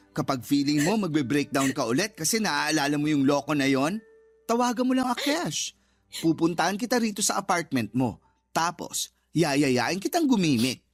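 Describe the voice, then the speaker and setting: An actress playing a sassy, fiercely protective young woman in a radio drama, slangy and emphatic. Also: on the low side. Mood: reassuring, playful. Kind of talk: Tagalog radio drama acting. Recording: music bed under voice.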